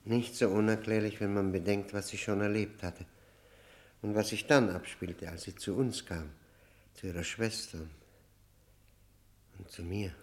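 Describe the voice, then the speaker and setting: Low-voiced, brooding convict. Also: male voice, steady tone. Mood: brooding, hesitant. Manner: halting, brooding, guarded. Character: weary, defiant convicted murderer, long silent, brooding. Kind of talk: radio play acting.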